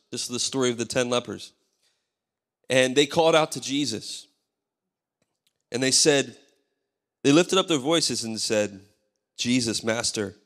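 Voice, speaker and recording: A man in his thirties, a pastor whose voice is worn and hoarse, preaching a sermon into a handheld mic and calling for amens. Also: medium pitch, a bit bright. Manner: preaching with call-and-response, asking the crowd for amens. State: losing his voice. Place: large church stage. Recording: live church hall reverb.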